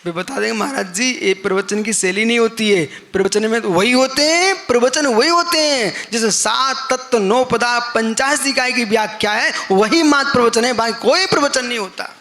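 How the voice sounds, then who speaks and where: Middle-aged male preacher, talky sermon style, fairly high voice, quizzes the audience. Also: normal speed, hardly any pauses, bright, forceful tone. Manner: conversational, questions the audience, acts out quoted people. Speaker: Jain monk giving a discourse. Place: live assembly hall.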